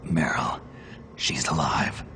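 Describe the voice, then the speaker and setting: Gruff infiltrator: very low voice, clipped questions, focused soldier in a game cutscene. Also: male voice, fairly even intonation, slow, bright, forceful tone, in a whisper, full character voice. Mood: relieved. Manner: terse, questioning. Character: gruff male soldier on a covert mission, terse. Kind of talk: video game cutscene acting.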